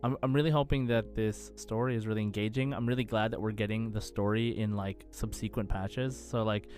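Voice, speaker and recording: A man's voice: on the low side, steady tone, a little fast, a little mellow, soft background music. A man co-hosting a casual gaming podcast in a conversational way. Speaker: podcast co-host.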